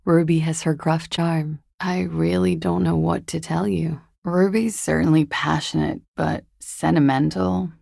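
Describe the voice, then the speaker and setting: Casting: a secretive young female disco dancer in a game, coy and understated, very gravelly fry, close dry sound. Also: sits low, steady tone, a little slow, few pauses, dark tone, no echo, exaggerated voice. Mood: coolly evasive. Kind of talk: video game voice acting.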